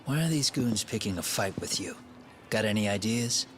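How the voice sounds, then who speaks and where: A man's voice: fairly low pitch, lively intonation, moderate pace, natural voice. A man playing a cocky, streetwise handyman and tough fixer in a dubbed video game cutscene, with a taunting, slangy, swaggering delivery. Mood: sly, probing. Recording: loud music over the voice.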